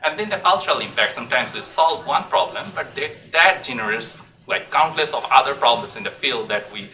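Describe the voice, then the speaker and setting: A man's voice: mid-range voice, expressive, fairly quick, bright, forceful tone. A man with a Bangladeshi accent giving an academic lecture in an informal, hesitant way. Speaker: assistant professor presenting research. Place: university lecture room. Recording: echoey room, distant mic, faint noise.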